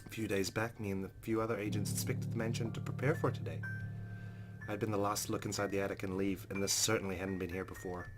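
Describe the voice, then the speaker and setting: A man's voice: on the low side, level tone, fast talker, music in the background. A man hosting a let's play, reading a visual novel aloud with dramatic, jittery asides.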